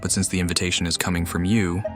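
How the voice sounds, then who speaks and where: A woman's voice: rumbling low, flat delivery, fast talker. A woman playing a video game and commenting casually with dry, sarcastic asides. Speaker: gamer commenting over a playthrough. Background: game music.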